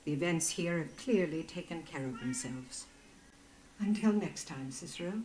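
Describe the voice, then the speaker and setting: Low, poised, calculating matron, echoing. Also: female voice, lively intonation, a little mellow, a little hiss or hum. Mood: courteous. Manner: formal, cool, deliberate. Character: poised, calculating female head matron of a powerful order. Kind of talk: video game dramatic dialogue.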